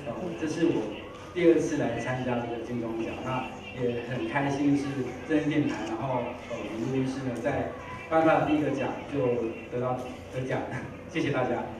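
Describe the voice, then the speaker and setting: A man giving a short, halting informal acceptance speech. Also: medium pitch. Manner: hesitant, halting. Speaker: recording engineer accepting an award. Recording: echoey noisy hall, distant mic.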